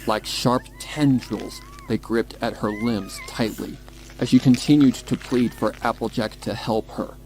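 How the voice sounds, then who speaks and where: A man's voice: mid-range voice, wildly swooping pitch, a little slow, darkish tone, poor audio. A man reading a horror story aloud in a dramatic, scripted narrator's style. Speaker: narrator of a horror fan fiction.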